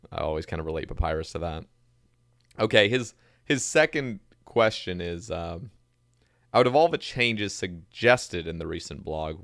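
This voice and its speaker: Deep gravelly man hosting a podcast. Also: no echo, studio quality. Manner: easygoing, reads listener questions. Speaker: podcast host. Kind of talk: casual podcast chat.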